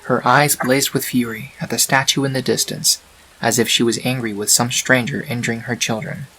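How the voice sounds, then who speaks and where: A man narrating a scripted fan-fiction story in a measured, dramatic storytelling manner. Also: mid-range voice, vocal fry, fairly bright tone, low-quality recording. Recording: faint hiss on home recording.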